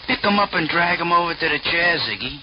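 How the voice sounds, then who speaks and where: An actor playing a menacing, mockingly sweet gangster boss in theatrical 1940s radio crime drama style. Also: male voice, a little high, lively intonation, fast talker, natural voice. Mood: mock sweet. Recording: noisy, dull vintage radio recording.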